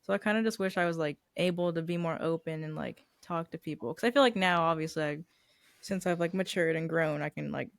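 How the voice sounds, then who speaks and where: Young woman, gravelly fry in her voice, dry close sound, shy and halting. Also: low-pitched, dry recording. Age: young adult. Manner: soft-spoken, hesitant, lots of "like". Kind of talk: casual chat.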